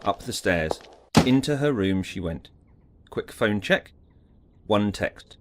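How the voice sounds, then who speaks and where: A man's voice: fairly low pitch, lively intonation, takes it slowly, very noisy. A man giving a scripted, dramatic narration of an audiobook story.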